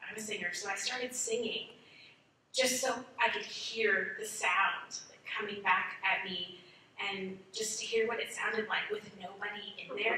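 A woman speaks with passion and emphasis in a bright, forceful voice, sharing memories as a panelist. She sounds distant in a very echoey room with some background noise. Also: medium pitch. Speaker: teacher panelist. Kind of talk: panel discussion, personal recollection. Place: large furnished meeting room.

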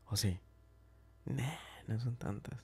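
A man playing a smitten, tender and teasing best friend in an ASMR roleplay, speaking softly and hesitantly, often whispering and repeating himself. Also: medium pitch, slow, heavily acted. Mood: gently teasing. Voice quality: soft, breathy, often whispering. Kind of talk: ASMR roleplay, intimate chat.

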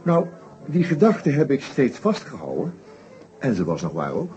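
An actor playing a shrewd, methodical detective inspector in a Dutch radio play, explaining his case deliberately with small hesitations. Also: mid-range voice, huge pitch swings, darkish tone, faint music behind. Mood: explaining, confident. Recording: dull old radio play recording.

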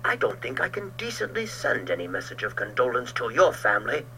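A man playing a proud landowner pinned under a tree, fierce and haughty in his replies. His voice is bright and tense, with lively rises and falls. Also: mid-range voice, a little fast, slight background noise, exaggerated voice, rough recording. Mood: cold.

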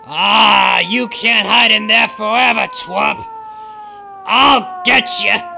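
An actor playing a gruff, menacing customer in a radio play, talking in slangy tough-guy speech. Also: high-pitched, slow pace, bright, forceful tone, a little hiss or hum, exaggerated voice. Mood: furious. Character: gruff menacing male swindled customer, thuggish.

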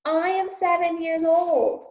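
A shy, yearning little peasant girl, as a woman reading aloud puts her on, her voice lifted high and childlike. She speaks eagerly yet timidly in a storybook tale. The sound is dull and roomy. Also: expressive, measured pace, vocal fry, warm tone. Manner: high, pleading. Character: shy, wistful seven-year-old peasant girl.

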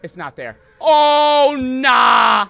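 A young man streaming a horror game, panicking, swearing and yelling in fright while reading notes aloud. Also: very high-pitched, animated, drawn-out words, creaky, clean tone, no echo. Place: small room at home. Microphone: desk mic on stand.

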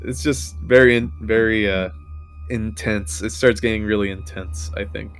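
A man's voice: fairly low pitch, steady tone, normal speed. A man co-hosting a casual discussion, talking informally with lots of "like" and some swearing. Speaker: co-host of a horror discussion show. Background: music behind the voice.

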